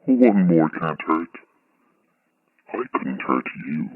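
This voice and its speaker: A menacing male stalker comes over a thin phone line, very deep and husky. He talks with slow, deliberate friendliness, like an obsessed fan in a horror radio play. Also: very animated, exaggerated voice. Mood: creepily eager. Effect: telephone filter. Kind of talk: audio horror drama acting.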